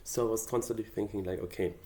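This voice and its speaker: A man's voice: on the low side, fairly even intonation, quick pace, mellow. A man whose first language is German, talking through his draft song lyrics in a casual, hesitant and thoughtful way with many fillers. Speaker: hobby songwriter. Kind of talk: casual explanatory vlog.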